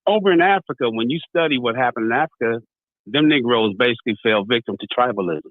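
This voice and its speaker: Animated American man through a tinny line. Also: mid-range voice, fast talker, no room sound. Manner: storytelling, acts out dialogue, repeats "you know what I mean". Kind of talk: impassioned casual debate. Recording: tinny phone-like sound.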